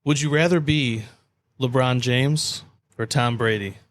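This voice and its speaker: Male co-host, average pitch, casual and teasing, happy to play contrarian in sports banter. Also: measured pace. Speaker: podcast co-host.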